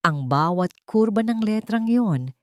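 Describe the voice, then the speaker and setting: A woman's voice: medium pitch, lively intonation, a little slow, a little mellow, dry recording. A Filipino-accented woman narrating an audiobook story in a scripted, dramatic style.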